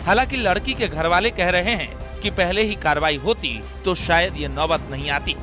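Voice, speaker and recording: A man with a high, bright voice narrates a news report, measured and grave. Faint music sits beneath. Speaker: field reporter. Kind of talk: scripted news voice-over.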